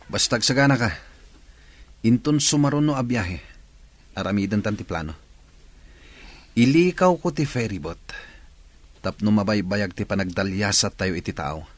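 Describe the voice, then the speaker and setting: A man's voice: on the low side, sing-song, moderate pace, long pauses, no room sound. A man playing a jealous schemer who grumbles and plots against a rival in a radio drama. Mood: plotting.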